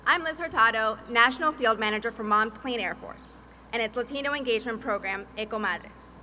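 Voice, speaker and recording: A woman from Peru, a mother and advocate, giving a prepared speech at a public event in an earnest, deliberate way. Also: a little high, pushed and bright, slight background noise, poor audio. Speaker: advocacy field manager and mother.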